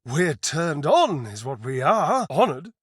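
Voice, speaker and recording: A man playing a flamboyant, flirtatious, theatrical living appliance in a dating game, gushing with pet names and drawn-out words. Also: on the high side, very animated, husky, no room sound, clean studio sound, heavily acted. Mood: exuberant. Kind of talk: dating-game character voice acting.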